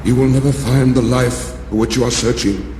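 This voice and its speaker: A man playing a solemn, troubled sun god in a dramatized audiobook, pronouncing judgment in a grave, measured way. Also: mid-range voice, steady tone, roomy sound, in a large hall, background noise, close to an everyday voice. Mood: grave, distressed. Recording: music behind the voice.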